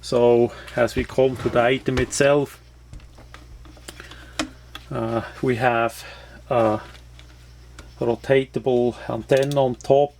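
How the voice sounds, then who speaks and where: Slow, flat-toned man reviewing a product. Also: medium pitch, gravelly, a little hiss or hum. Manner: halting, hesitant phrasing. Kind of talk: casual unscripted product walkthrough.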